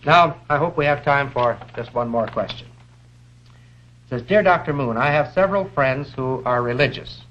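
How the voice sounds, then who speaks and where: A middle-aged American man presenting an educational lecture in a measured, deliberate manner, posing rhetorical questions, on a 1950s film soundtrack. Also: mid-range voice, muffled recording.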